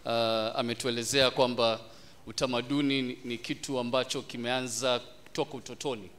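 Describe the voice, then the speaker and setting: Smooth-voiced man moderating a panel. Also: mid-range voice, steady tone, fairly bright tone. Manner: measured, posing questions, mixing in Swahili. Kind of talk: formal panel discussion.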